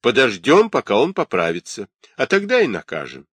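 A man's voice: medium pitch, huge pitch swings, moderate pace, a bit bright, breathy edge, no room sound, exaggerated voice. A man voicing a stern, curt male camp commander who gives official orders.

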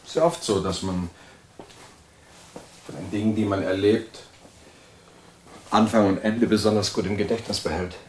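A man's voice: fairly low pitch, fairly even intonation, moderate pace, pauses often, a little hiss or hum, natural voice. An actor playing a middle-aged asylum patient in an audio drama, recounting his life in a reflective, meandering and slightly snobbish way. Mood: reflective, detached. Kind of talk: monologue of recollection in audio drama.

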